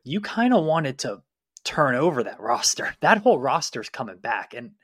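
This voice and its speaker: Male co-host for casual sports analysis, mid-pitched, with a dry studio-like sound free of echo. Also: big pitch swings, moderate pace, fairly bright tone.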